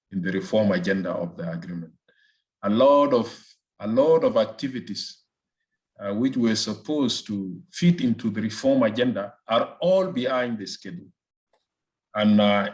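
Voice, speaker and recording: A man with a South Sudanese accent answering as an expert guest in an interview, phrasing things deliberately and often repeating words as he goes. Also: on the low side. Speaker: research fellow, interview guest. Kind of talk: political analysis in an interview.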